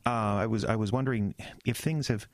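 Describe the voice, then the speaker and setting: An actor playing an earnest, troubled young pastor in an audio drama, stammering and hesitant, with an American accent. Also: male voice, on the low side, fairly even intonation, fairly quick, dry recording, studio quality, close to an everyday voice. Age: young adult.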